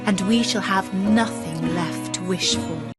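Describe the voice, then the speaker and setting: Woman voicing a slow, tender young fairy-tale prince. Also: mid-range voice, loud music behind the voice, lightly acted. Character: gentle lovelorn young prince in a dream.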